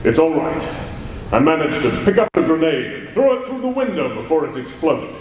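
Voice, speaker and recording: A man playing a calm, commanding crime-fighter hero in a live old-time radio drama, crisp and dramatic. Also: fairly high pitch, fairly quick, a crowd in the background. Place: large convention hall, live stage. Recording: echoey hall with long reverb.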